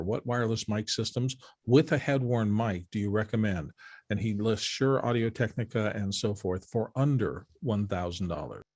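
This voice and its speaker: A man reading viewer questions aloud to a panel in a plain, steady way. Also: lowish voice, no echo, clean studio sound.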